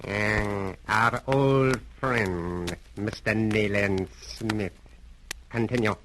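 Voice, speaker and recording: An actor playing a sinister, commanding criminal mastermind in a 1930s radio drama, speaking formally and deliberately with cool courtesy. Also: male voice, fairly low pitch, a bit bright. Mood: coolly probing. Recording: noisy old 1930s radio recording.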